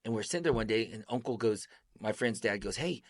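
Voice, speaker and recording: Animated man in his fifties telling stories. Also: lowish voice, fast talker, dry recording. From born in Vietnam, raised in Kansas and Texas. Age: 50s. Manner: animated and joking, laughs mid-story. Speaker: interview guest. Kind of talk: casual storytelling.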